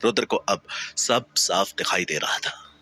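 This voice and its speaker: A man's voice: deep voice, measured pace, sharp tone, dry recording. A man narrating a scripted horror story in a theatrical, suspenseful way.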